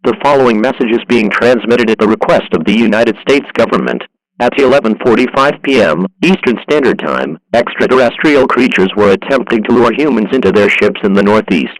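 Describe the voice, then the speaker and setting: A man acting as a government emergency announcer delivers a scripted alert. His voice sits at an average male pitch, with a formal, impersonal, measured manner. The sound is close and dry, with no room on it. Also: animated, no room sound, lightly acted, poor audio.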